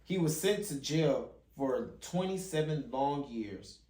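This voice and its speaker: A man's voice: mid-range voice, lively intonation, slow, warm tone. Echoey man reading a picture book aloud. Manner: stumbles and rereads phrases as he reads. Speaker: father hosting a children's read-along channel. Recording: roomy, echoey home recording.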